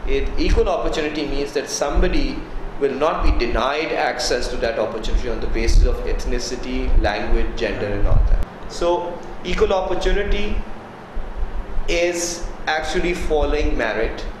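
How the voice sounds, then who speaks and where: A man's voice: mid-range voice, normal speed. A man from Pakistan, a university director of student affairs, giving earnest, explanatory interview answers and often adding "you see". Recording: echoey room with background noise.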